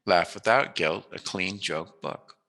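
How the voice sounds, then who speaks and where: A man's voice: deep voice, measured pace, bright, forceful tone. A man hosting a casual, chatty interview, tossing in joking asides as he asks questions. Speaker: interviewer, host.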